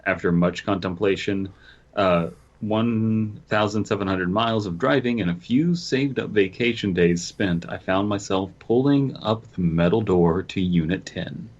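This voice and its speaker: A man's voice: lowish voice, normal speed, a little mellow, lo-fi sound. A man co-hosting a podcast, bantering casually and stumbling as he reads a story aloud. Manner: stumbles while reading aloud. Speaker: podcast co-host.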